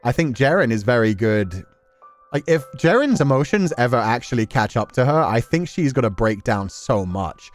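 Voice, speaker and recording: A man's voice: mid-range voice, animated, moderate pace, soft background music. A man giving casual, emotive live commentary as a game streamer, swearing freely and acting out characters' thoughts as they analyse a story. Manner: emotive, acts out imagined inner thoughts, sweary.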